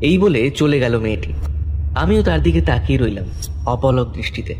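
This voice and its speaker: A man's voice: mid-range voice, animated, normal speed, darkish tone, slight background noise. A man narrating a scripted horror story in the first person.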